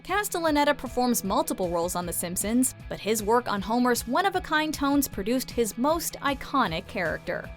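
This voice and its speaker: A woman narrates a countdown in a fairly high voice. Her scripted delivery is very animated, with light music running underneath. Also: normal speed, few pauses.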